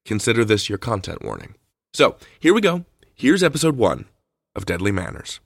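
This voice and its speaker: A man hosting a podcast, introducing shows in a conversational, playful, animated way. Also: fairly low pitch, normal speed, creaky. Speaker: podcast host.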